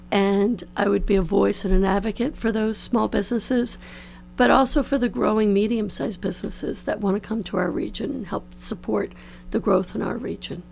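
A woman in her fifties from New Orleans, slightly hoarse, giving earnest, explanatory answers as a political candidate in a studio interview. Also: mid-range voice, steady tone, moderate pace, darkish tone, low-quality recording. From New Orleans, United States. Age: 50s. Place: radio studio. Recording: clear background hum and noise.

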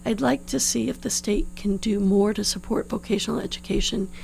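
A woman in her fifties from New Orleans, slightly hoarse, giving earnest, explanatory answers as a political candidate in a studio interview. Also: mid-range voice, fairly even intonation, brisk, a little mellow, low-quality recording. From New Orleans, United States. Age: 50s. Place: radio studio. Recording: clear background hum and noise.

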